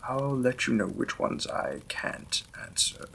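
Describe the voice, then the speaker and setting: A man playing a courteous, reserved Victorian vampire lord in a romantic audio drama, speaking in formal, gentle, polite phrases. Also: medium pitch, sing-song, slow pace, creaky, a little hiss or hum, full character voice. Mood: calm, reassuring.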